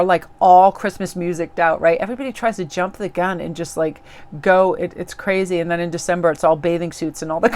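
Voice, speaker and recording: A woman's voice: on the low side, gravelly. A woman tarot reader talking casually and earnestly to her viewers, rambling and encouraging with plenty of fillers. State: recovering from losing her voice. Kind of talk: casual spoken reflection to viewers.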